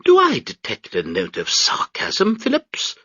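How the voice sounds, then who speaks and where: A man's voice: high-pitched, huge pitch swings, unhurried, bright, forceful tone, husky, dry recording, highs cut off, voice pushed up, full character voice. A man voicing a pompous upper-class marine major who speaks in a haughty, clipped drawl. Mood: dismissive.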